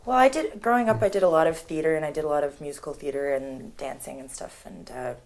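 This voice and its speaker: Young woman, singer-songwriter, low voice; chatty, animated storyteller for interview. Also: fast talker, poor audio. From Canada. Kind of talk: casual interview.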